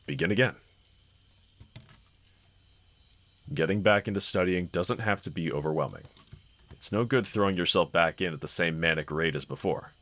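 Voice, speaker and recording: A man playing a gentle, encouraging husband in an intimate scripted roleplay audio, speaking in a considerate, reassuring manner. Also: deep voice, dry recording. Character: gentle, encouraging adult husband, calm and caring. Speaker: character audio voice actor.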